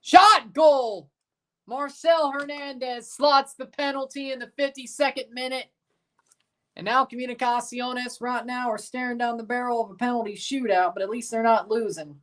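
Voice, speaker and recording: A man's voice: very high voice, steady tone, moderate pace, bright, forceful tone. A man in his twenties hosting a live match watchalong, talking casually and joking with his viewers between calls of the play. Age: 20s. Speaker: livestream host.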